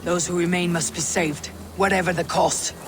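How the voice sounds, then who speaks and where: An actor playing a steady, commanding Norse warrior in a video game, speaking in a grave, measured, resolute way. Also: male voice, sits high, over music, natural voice. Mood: grave, calm. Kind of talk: video game character acting.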